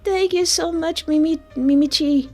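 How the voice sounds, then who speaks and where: A woman's voice: very high-pitched, a little slow. A woman livestreamer chatting casually and effusively with her viewers, reacting aloud as she goes. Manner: effusive, informal, reacting aloud to chat messages. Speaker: livestream host and game developer. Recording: soft music behind the voice. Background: background music.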